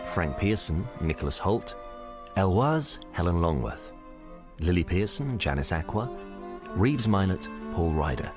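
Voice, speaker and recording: A male announcer reading scripted credits. His voice is deep and varied in melody, with music clearly playing behind it. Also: sing-song, a little slow. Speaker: continuity announcer.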